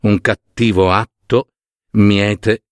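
A man voicing a wise, generous python in a folk tale, speaking in a grave, measured, admonishing way. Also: low voice, animated, no room sound, pitched below the performer's own voice. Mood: sorrowful, solemn.

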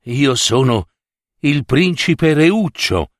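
A man's voice: mid-range voice, sing-song, drawn-out words, no echo, studio quality. A man voicing a wounded young prince in a fairy tale, courteous and earnest. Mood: dazed, courteous.

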